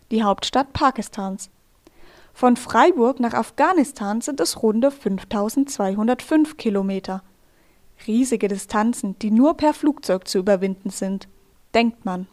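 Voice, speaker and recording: A woman hosting a radio feature from a studio, telling the story in calm, measured, scripted narration. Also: fairly high pitch. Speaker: radio host.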